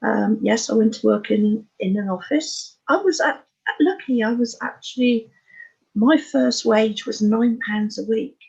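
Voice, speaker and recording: A woman in her sixties with an English accent, telling her life story as an interview guest in a frank, halting, matter-of-fact way. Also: on the high side, vocal fry, a little mellow, breathy edge, dull audio. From Oxfordshire, England. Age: 60s. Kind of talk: candid personal life story in conversation.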